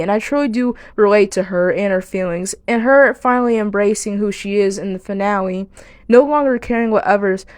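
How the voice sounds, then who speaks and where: A woman's voice: medium pitch, a little mellow, no echo. A woman giving video essay commentary to viewers, speaking earnestly and now and then stumbling over words. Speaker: video creator and host.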